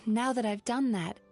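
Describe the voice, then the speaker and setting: Gentle, high-voiced dream spirit. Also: female voice. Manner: gentle, measured, reassuring. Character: gentle young female dream-eating spirit psychologist, composed, empathetic. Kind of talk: video game voice acting.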